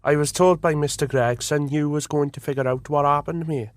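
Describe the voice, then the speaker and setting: A man's voice: on the high side, steady tone, a little fast, no echo, natural voice. An actor, a man, playing a grateful, earnest shopkeeper with a hesitant manner in a comedic radio drama. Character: grateful, earnest male shopkeeper, crime victim.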